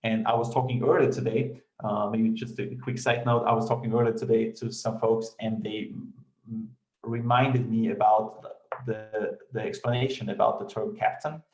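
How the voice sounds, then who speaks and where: A man with an Austrian German accent explains software at a conference, stopping often on fillers and repeated words. His voice sits a little low. It carries a roomy echo from the room he speaks in. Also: little pitch movement, normal speed. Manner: hesitant, many fillers and restarts. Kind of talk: technical talk.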